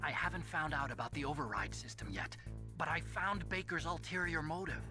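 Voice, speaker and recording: A man's voice: on the high side, brisk, music in the background, natural voice. An actor playing an earnest, anxious male technical expert in a dramatic video game. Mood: explaining.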